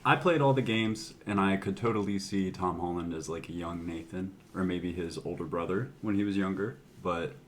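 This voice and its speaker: A man's voice: low-pitched, normal speed, few pauses, a little hiss or hum. A man co-hosting a podcast, speaking in a casual, conversational way. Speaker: podcast co-host. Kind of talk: casual movie discussion chat.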